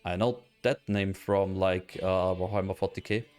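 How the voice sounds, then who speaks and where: A man's voice: low-pitched, fairly even intonation, moderate pace, a little mellow, faint music behind. A man with an Austrian German accent, a native German speaker, chatting casually with his stream chat while playing a game, hesitant with many fillers and restarts. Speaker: let's play streamer. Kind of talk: casual live gameplay chat.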